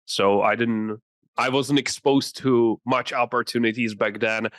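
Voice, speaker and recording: A man's voice: mid-range voice, steady tone, measured pace, fairly bright tone, no room sound. Young man with a Czech accent. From Czech Republic. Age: 20s. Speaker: podcast guest, entrepreneur. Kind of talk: interview answers.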